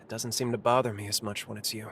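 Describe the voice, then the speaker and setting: A man's voice: lowish voice, flat delivery, fast talker, fairly bright tone, slight background noise. A man voicing a resolute, guarded fighter who speaks earnestly and reflectively in a game cutscene. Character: resolute young male fighter, quietly guarded. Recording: faint music score behind the voice.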